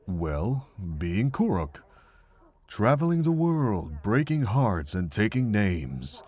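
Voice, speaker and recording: Casting: man to play wise, regretful middle-aged monk for a visual novel; very low, raspy register, gentle reflective storytelling. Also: sing-song, slow, no room sound, exaggerated voice. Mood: wry, reminiscing. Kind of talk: fan visual novel voice acting.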